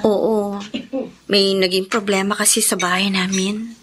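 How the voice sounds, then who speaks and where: A woman's voice: medium pitch, level tone, measured pace, crowd noise, close to an everyday voice. An actress playing a troubled, sorrowful young woman in a radio drama, speaking plaintively and earnestly.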